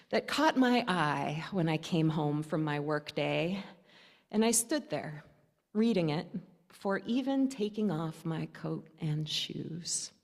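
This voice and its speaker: A woman preaching at a church service, telling stories and reading aloud in deliberate phrases with pauses and wry humor. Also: lowish voice. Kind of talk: sermon storytelling, reading aloud from notes and a newspaper story. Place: indoor church service, speaking to a congregation. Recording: reverberant church hall.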